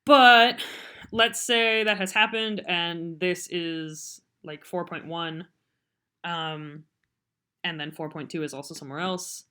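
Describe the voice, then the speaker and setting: Low-pitched woman giving a casual tutorial. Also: fairly even intonation, slow pace, pushed and bright, clean tone. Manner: rambling, informal, self-deprecating. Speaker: podcast editor giving a software tutorial. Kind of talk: casual unscripted screen-recording tutorial. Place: voice-over on a computer screen recording.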